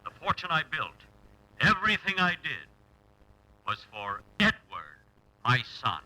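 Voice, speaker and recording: A grandiose, self-important English industrialist lord tells his life story with deliberate pomp. His voice sits at a middle pitch but swings widely for comic weight. It comes through a noisy, humming 1940s radio recording. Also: huge pitch swings. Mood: grandly self-important. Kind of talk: 1940s radio comedy sketch. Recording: noisy old radio broadcast with hum.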